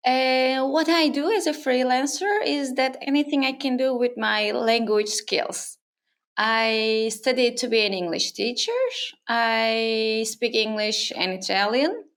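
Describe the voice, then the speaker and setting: Brief: woman in her 30s from Turkey, native Turkish speaker, gravelly and slightly high, thoughtful interview guest. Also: a bit bright. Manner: thoughtful, with frequent fillers and restarts. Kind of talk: casual interview conversation. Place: her home on an island.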